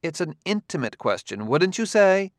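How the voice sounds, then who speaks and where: A man reading an audiobook, voicing a brisk, matter-of-fact woman who runs a children's farm, easily exasperated. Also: high-pitched, very animated, dry recording, studio quality, pitched above the performer's own voice. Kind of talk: audiobook character voice.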